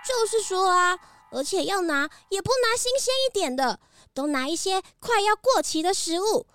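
An excitable animal shop worker for a children's audio play. The voice is extremely high and childlike, bouncing widely in pitch, with a Taiwanese Mandarin accent. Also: female voice, normal speed, faint music behind. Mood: puzzled, complaining.

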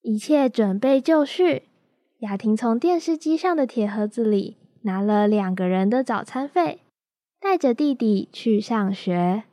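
A woman's voice: fairly high pitch, animated, measured pace, warm tone, a little hiss or hum. A young woman reading scripted narration for a children's radio drama. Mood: narrating. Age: young adult. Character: storyteller of a children's family tale.

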